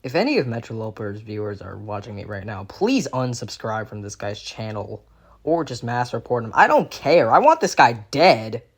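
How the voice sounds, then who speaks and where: A young man in an unscripted critical rant as a video commentator, halting and repeating himself as he gropes for words, exasperated and sweary. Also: medium pitch, very animated, slightly rough. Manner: halting, repetitive, groping for words.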